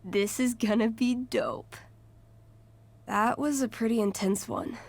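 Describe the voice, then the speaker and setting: A woman's voice: a little high, steady tone, slow. A woman playing a young game master in a video game, who tells tabletop fantasy stories and chats casually. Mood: upbeat, grateful.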